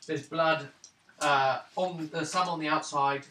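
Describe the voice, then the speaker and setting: Man, game master, halting narration, crisp forward tone, distant mic, light noise. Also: a little high, fairly even intonation, pushed and bright. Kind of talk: narrating a tabletop roleplaying game. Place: indoors, around a gaming table. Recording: roomy, distant mic, hissy background noise.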